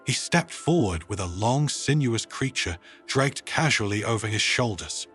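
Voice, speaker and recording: A man's voice: medium pitch, lively intonation, normal speed, a bit bright. A man narrating a scripted science fiction story. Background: music.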